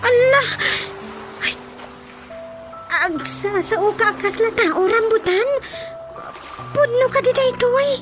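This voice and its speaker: A woman playing an excitable, tender-hearted young daughter in a radio comedy drama, with exclamatory, wide-eyed delivery. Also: very high-pitched, slow pace, pauses often, over music. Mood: astonished.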